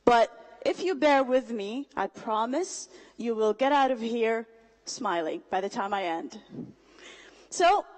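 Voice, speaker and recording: A young woman with a high voice gives a speech to a live audience. She talks in an engaging, rhetorical way and keeps asking the crowd questions. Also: low-quality recording. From Somalia. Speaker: student speaker.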